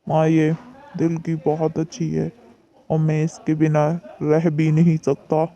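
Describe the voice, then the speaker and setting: A woman voicing a young husband who gently pleads with his mother and reassures his wife, in a low, gravelly put-on voice. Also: completely flat delivery, measured pace. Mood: pleading. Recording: dull lo-fi recording.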